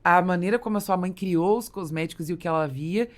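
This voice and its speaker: Lower-pitched Brazilian woman hosting an interview. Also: a little fast. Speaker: podcast host interviewing a guest. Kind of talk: conversational business podcast interview.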